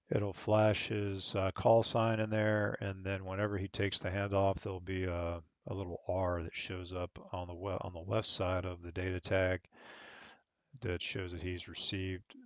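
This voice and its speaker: A man's voice: fairly low pitch, no echo, dull audio. A man working as an online air traffic controller, switching between radio phraseology and casual explanatory commentary. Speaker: air traffic controller on a flight simulation network, streaming.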